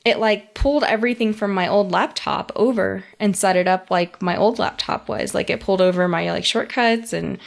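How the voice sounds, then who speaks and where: A woman's voice: mid-range voice, moderate pace, creaky, hardly any pauses. Chatty woman in casual tech talk. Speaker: podcast co-host.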